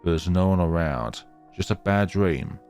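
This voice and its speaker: A man reading a horror visual novel aloud in a theatrical, halting way, with casual asides. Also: sits low, darkish tone, faint music behind.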